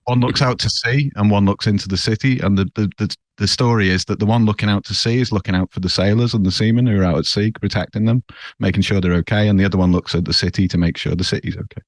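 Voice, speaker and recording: A man explains a story quickly over a video call. His voice is low and the sound is dry and close, with no room around it. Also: fairly even intonation, no room sound.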